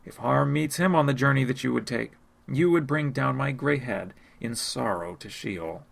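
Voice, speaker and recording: A man's voice: mid-range voice, vocal fry. A man reading as a grieving old father. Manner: slow, heavy delivery. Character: grieving old father refusing his sons.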